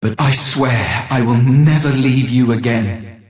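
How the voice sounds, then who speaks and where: A man's voice: medium pitch, takes it slowly, a little mellow, lightly acted. An actor playing a devoted, lovelorn man in a fantasy video game, tender and melodramatic, echoey and distant. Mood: yearning, tender. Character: lovelorn, devoted young male lover. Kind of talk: video game character acting.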